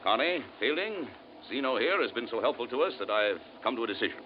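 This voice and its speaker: An actor playing a confident, authoritative rocket commander in old radio drama style, brisk and commanding. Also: male voice, medium pitch, big pitch swings, lightly acted. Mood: hearty, persuasive. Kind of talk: 1950s radio drama acting. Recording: dull, noisy vintage radio recording.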